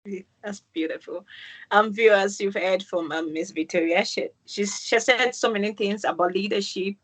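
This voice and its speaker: A woman's voice: medium pitch, normal speed. A woman, from Manchester, hosting an interview show and effusively praising her guest. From England, Manchester. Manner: effusive, keeps calling things beautiful, many "um"s. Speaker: host. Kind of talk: interview host wrap-up.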